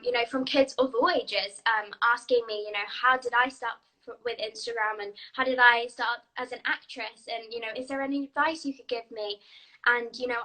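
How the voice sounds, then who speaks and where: A woman's voice: fairly high pitch, sharp tone, low-quality recording. A young British woman, an actress, answering interview questions in a chatty, upbeat way. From United Kingdom. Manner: chatty, upbeat, quick to laugh.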